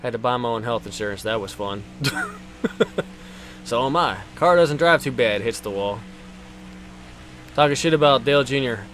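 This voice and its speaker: A man's voice: medium pitch, expressive, moderate pace, long pauses, a bit bright, a little hiss or hum. A man in his twenties doing casual, foul-mouthed live commentary while streaming a racing game, bantering jokily with viewers.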